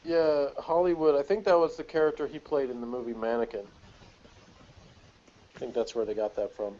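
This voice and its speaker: A man's voice: mid-range voice, steady tone, a little fast, warm tone. A man chatting casually on a review panel over a video call, with an amused, digressive, trivia-minded way of talking. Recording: dull lo-fi video call audio.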